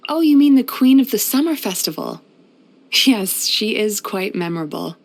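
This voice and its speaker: A woman voicing a gentle, wistful, centuries-old yokai healer in a video game, speaking softly in a measured, polite, reflective way. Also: mid-range voice, expressive. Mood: warm, admiring. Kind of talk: scripted video game character voice-over.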